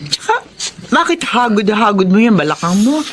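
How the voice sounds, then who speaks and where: A woman's voice: mid-range voice, big pitch swings, normal speed, background noise, lightly acted. A woman playing a worried, caring middle-aged wife, fretful and insistent, in a radio drama. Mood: concerned.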